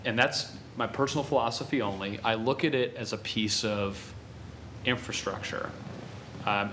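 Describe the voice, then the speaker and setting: Man, lowish voice, transit official, measured explaining, faint hiss. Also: a bit bright. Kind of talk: public meeting discussion.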